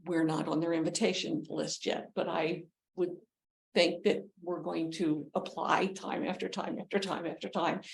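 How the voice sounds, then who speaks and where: A woman's voice: on the low side, gravelly, darkish tone. A woman on a conference panel, speaking earnestly and repeating phrases for emphasis. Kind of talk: casual panel talk.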